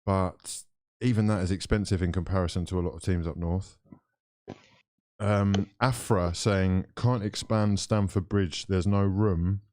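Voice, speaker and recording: A man's voice: deep voice, steady tone, measured pace, darkish tone, dry recording, clean studio sound. A man hosting a casual football live stream, chatting loosely and reading viewer comments aloud.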